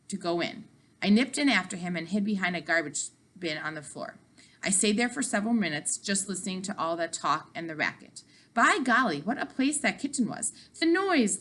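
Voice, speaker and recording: A woman narrating a children's book aloud with animated, dramatic emphasis. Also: mid-range voice. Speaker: read-aloud storyteller.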